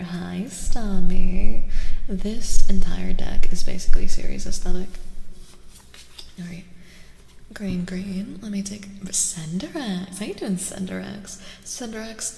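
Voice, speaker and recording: Soft-spoken woman in a long, echoing reverb. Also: medium pitch, slow pace, a little hiss or hum. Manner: gentle, soothing, repeats phrases softly. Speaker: streamer and tarot reader host. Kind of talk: soft-spoken ASMR livestream chat. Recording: roomy echo, long reverb, music. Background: clear background music.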